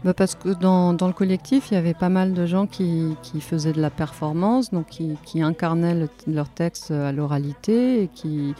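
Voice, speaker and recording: Earnest, mellow-voiced poet. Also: mid-range voice, moderate pace, hardly any pauses, faint music behind. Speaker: poet in a performance collective. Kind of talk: conversational interview answer.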